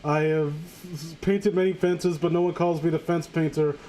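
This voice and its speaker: A man's voice: a little high, fairly even intonation, normal speed, dark tone, a little hiss or hum. A man hosting a comedic reading show, chatting casually with sarcastic, crude banter. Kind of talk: casual joking group commentary and read-aloud.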